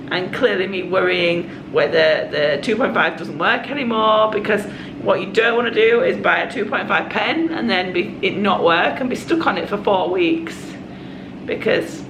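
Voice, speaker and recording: A woman in her thirties talks to her camera in a chatty, confiding way, her voice croaky from a cold. The room gives her voice a roomy echo. Steady background noise sits underneath. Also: medium pitch. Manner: chatty and confiding, with quick asides. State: hoarse from a cold. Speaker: vlogger. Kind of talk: casual vlog chat.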